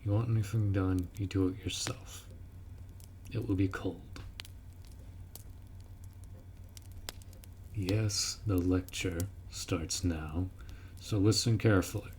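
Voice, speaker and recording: A man's voice: deep voice, fairly even intonation, measured pace, darkish tone, a little hiss or hum. A man voicing a blunt, protective orc warrior who speaks informally and firmly in a roleplay audio drama. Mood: stern, instructing. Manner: blunt, informal, firm, instructing.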